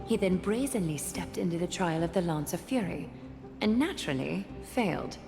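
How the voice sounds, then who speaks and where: A woman's voice: lowish voice, lively intonation, moderate pace, gravelly. Imperious, cold noblewoman. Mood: cold, stern. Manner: formal, stern, haughty. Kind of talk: video game voice acting. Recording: long hall reverb under loud music.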